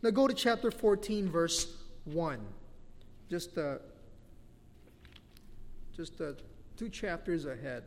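A Filipino-accented man in his middle years preaches from the pulpit, his voice sitting high for a man. He talks animatedly and laughs often between points. His words ring out with a long reverb from the church hall. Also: expressive, slow, long pauses, slight background noise. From Philippines. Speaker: pastor. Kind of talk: sermon.

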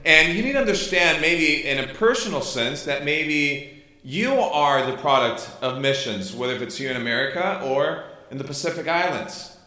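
A middle-aged man from Chile with a Chilean Spanish accent, a native Spanish speaker, giving an informal sermon and testimony as a missionary pastor, halting and correcting himself as he goes. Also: a little high, bright, forceful tone. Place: church hall during a service. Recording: echoey room sound.

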